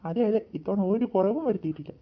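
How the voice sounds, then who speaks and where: A man's voice: high voice, fast, breathy edge, highs cut off. A man playing a kindly father of young children in a cartoon. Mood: cheerful. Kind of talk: cartoon dialogue.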